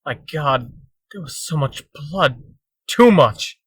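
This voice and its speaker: An actor playing a distraught, anxious suspect in a comic dub, pleading in distress. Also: male voice, on the high side, animated, slow, breathy edge, no room sound, exaggerated voice. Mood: frantic worry.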